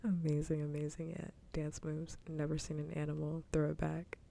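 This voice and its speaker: Low, whispering woman with creaky edges. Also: monotone, normal speed. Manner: soft-voiced, mostly whispering, giggly. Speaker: livestreamer host. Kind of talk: whispered ASMR casual chat. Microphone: close ASMR microphone. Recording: faint background noise, low rumble.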